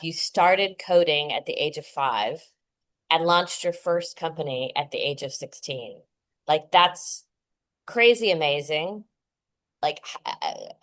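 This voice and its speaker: A woman hosting an interview podcast, opening the show and asking questions in an upbeat, casual way with lots of fillers. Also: fairly low pitch. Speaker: podcast host.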